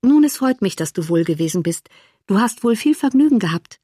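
A woman voicing a kindly, sensible younger sister with a gentle, composed, reasoning manner in an audiobook. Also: mid-range voice, big pitch swings, fairly quick, breathy edge, no room sound, clean studio sound. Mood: mild. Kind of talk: audiobook character dialogue.

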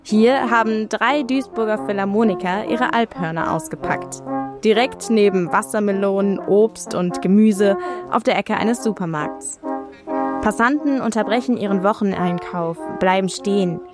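A woman's voice: mid-range voice, normal speed. A woman reporter reading a scripted radio feature narration. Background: music.

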